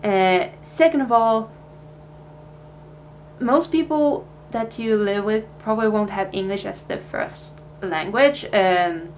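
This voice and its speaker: A woman's voice: medium pitch, slow pace, a little hiss or hum. Chatty young Swedish woman vlogging. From Sweden. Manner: chatty, many fillers and restarts. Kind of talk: casual life update vlog.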